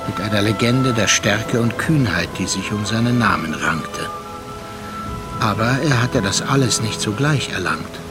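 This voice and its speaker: Reflective sea captain narrator, music behind. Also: male voice, lowish voice, animated. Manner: measured, literary storytelling. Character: reflective male sea captain telling his tale, measured. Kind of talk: scripted radio play narration.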